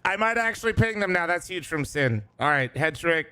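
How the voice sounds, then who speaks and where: Hoarse excitable streamer guy. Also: on the high side, fairly quick, slight background noise. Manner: excitable, yelling, swearing, laughing. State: hoarse from yelling. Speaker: streamer hosting a watch party. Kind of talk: excited live reaction chat.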